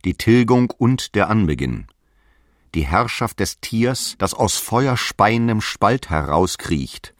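A stern prophet of the early church, very low in pitch and captured dry and close. He proclaims doctrine in grave, archaic, measured phrases, with fervent authority, as in a radio play. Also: big pitch swings, no room sound. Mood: apocalyptic, grave. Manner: grave, commanding, measured preaching. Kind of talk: solemn archaic radio drama monologue.

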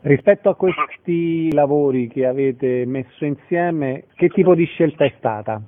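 Male radio interviewer with a slightly high voice, heard through a thin, phone-like channel. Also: measured pace. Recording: tinny, band-limited phone-like sound.

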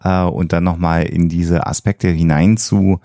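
A man co-hosting a podcast in a casual, chatty style, often pausing as he searches for phrasing. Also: deep voice, steady tone, dark tone, no echo, clean studio sound. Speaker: podcast co-host.

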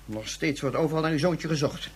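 An actor playing a polite, apologetic police inspector, courteous and hesitant, in a science fiction radio drama. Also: male voice, on the high side, brisk, husky. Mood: regretful.

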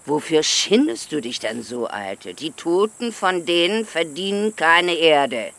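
Scornful villager, very lively pitch. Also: female voice, a little slow, full character voice. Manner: curt, disdainful. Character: scornful, bossy village woman. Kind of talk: naturalistic radio drama acting.

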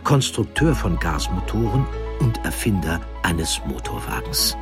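A man reading a scripted documentary narration with deliberate, emphatic phrasing. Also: deep voice, sing-song, over music.

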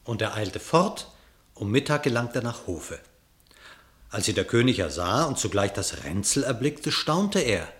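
Deep-voiced man narrating an epic fable. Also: sing-song, fairly bright tone. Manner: measured, epic storyteller tone. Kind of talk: scripted storybook narration in verse.

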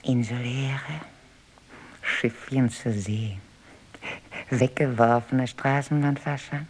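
A woman's voice: rumbling low, fairly even intonation, slow pace, a little mellow, breathy edge, exaggerated voice. A woman playing someone reminiscing in clipped fragments in an experimental radio play. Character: woman recalling childhood in playful fragments.

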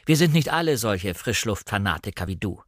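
A man's voice: on the low side, sing-song, a little fast, dry recording, clean studio sound. A man voicing an irritable, sardonic upper-class husband in a dramatic novel reading. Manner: curt, sardonic.

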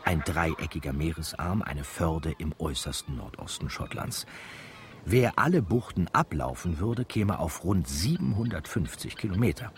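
A man's voice: sits low, animated, moderate pace, a little hiss or hum. A man playing a wry, chatty narrator who addresses the listener in a radio drama. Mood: teasing, informative. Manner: chatty, teasing.

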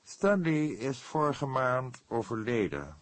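Man acting a high-pitched, pompous male publisher. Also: sing-song, slow pace, dry recording. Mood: somber. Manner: formal, emphatic, pompous. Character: irritable, self-important male literary publisher, formal and proud. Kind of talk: Dutch audiobook character voice.

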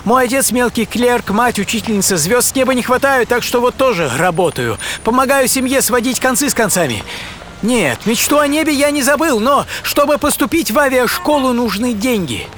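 A man's voice: very high voice, expressive, moderate pace, a little hiss or hum. A man performing a theatrical, intimate first-person radio monologue as a famous American astronaut looking back on his life. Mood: eager, youthful. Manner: theatrical, intimate, swinging between eager and hushed. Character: famous American astronaut recalling life from boyhood to quiet old age. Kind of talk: dramatic first-person monologue, audio play. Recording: studio voice over music bed.

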